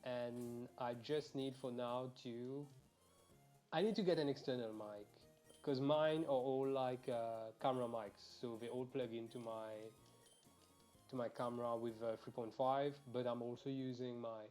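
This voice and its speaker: A man's voice: mid-range voice, fairly even intonation, unhurried, a little mellow, soft background music. Rambling, cursing young man streaming a game. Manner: rambling and chatty, swears freely, talks to viewers. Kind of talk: casual live game stream chat. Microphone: earphones mic, quiet and low in level.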